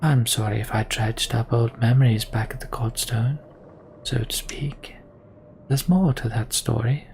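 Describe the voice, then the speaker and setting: A man voicing a sly woman storyteller in a video game, theatrical and measured with hushed, breathy phrasing. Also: mid-range voice, a little hiss or hum, close to an everyday voice. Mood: theatrical, knowing.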